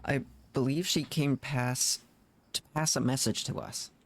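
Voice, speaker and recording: A woman's voice: extremely low pitch, measured pace, heavy background noise. A woman streamer reading game dialogue aloud, with casual asides of her own. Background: game music.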